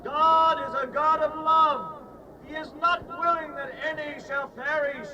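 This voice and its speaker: An actor playing a solemn, aged preacher warning of doom in a dramatized Bible story, in grave oratory. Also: male voice, extremely high pitch, steady tone, highs cut off, crowd noise. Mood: solemn, earnest. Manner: slow, grave public oratory. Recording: distant, noisy outdoor-sounding audio.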